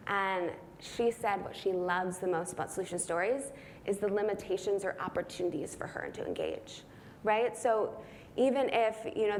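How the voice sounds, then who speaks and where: A woman's voice: medium pitch, a little mellow, slight background noise. A young woman answering audience questions at a talk, thinking aloud and often tagging her points with "right?". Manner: earnest, thinking aloud, frequent "right?" tags. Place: conference room.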